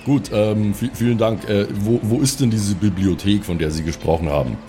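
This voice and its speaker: A man playing a tabletop role-playing game, improvising in casual, halting, informal chat. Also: lowish voice, mellow, soft background music.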